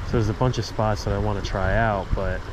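A man's voice: lowish voice, steady tone, a little fast, background noise. An American man in his thirties hosting a casual outdoor fishing vlog, talking to viewers in a laid-back way. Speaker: fishing channel host. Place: outdoors by a wooded river and road. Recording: loud music under the voice. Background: loud music.

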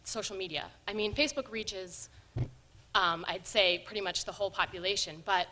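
A young woman with a bright voice poses a question from the floor. She pauses often and fills the gaps with ums, speaking into a standing mic in a hall. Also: mid-range voice. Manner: hesitant, many ums. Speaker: audience member asking a question. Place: lecture hall.

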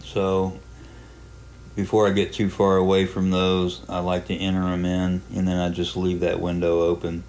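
A man giving a casual, unhurried tutorial narration, explaining a hobby software program step by step in plain terms. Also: low voice, monotone. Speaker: amateur radio hobbyist presenting a software walkthrough.

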